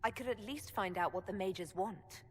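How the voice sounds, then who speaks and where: A woman voicing a frank, pragmatic elven heroine in a video game, calm and plain-spoken. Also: medium pitch, normal speed, breathy edge, natural voice. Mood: level, questioning. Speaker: player's heroine.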